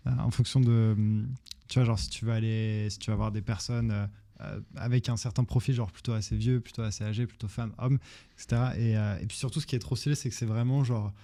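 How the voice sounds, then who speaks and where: A young French man, a podcast guest, talking fast and emphatically, repeating words as he explains. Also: fairly low pitch, steady tone, mellow. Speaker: online business co-founder, guest. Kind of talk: casual podcast chat.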